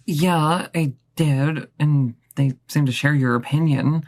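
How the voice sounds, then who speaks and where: A woman's voice: deep voice, takes it slowly, a little mellow, dry recording. A woman playing a nervous, polite young vampire in an audio drama, hesitant and stammering. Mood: pleading.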